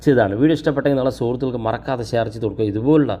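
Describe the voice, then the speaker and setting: A man narrates a how-to video in a medium-pitched voice. He talks quickly and explains step by step, speaking straight to the viewer like a tutorial host. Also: dark tone, highs cut off. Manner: instructional, addresses viewers directly.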